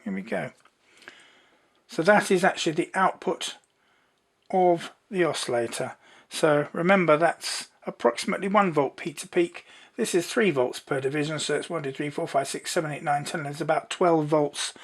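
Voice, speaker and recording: A presenter giving an informal hands-on technical demonstration in an unhurried, explanatory way. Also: mid-range voice, slightly rough.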